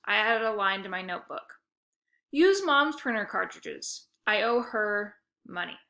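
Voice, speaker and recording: Woman reader for a kids' novel; bright, slightly high voice, lively storytelling. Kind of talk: reading a children's novel aloud.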